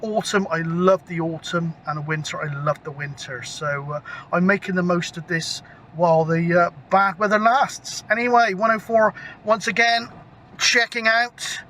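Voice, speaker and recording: High, bright-voiced British man, chatty. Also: steady tone, moderate pace. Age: 50s. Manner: chatty, rambling, mild swearing. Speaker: CB radio hobbyist, vlogger. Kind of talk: casual rambling vlog chat. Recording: outdoor camera mic with clear background noise.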